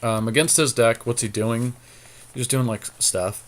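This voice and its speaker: A man co-hosting casual card game commentary, thinking through his plays aloud in a low-key, matter-of-fact way. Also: moderate pace. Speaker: podcast co-host playing a card game.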